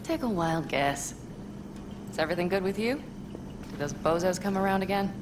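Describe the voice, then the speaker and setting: Woman acting tough, low-voiced young nomad woman, wry. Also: expressive, normal speed, background noise, close to an everyday voice. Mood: wry, blunt. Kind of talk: naturalistic video game drama acting.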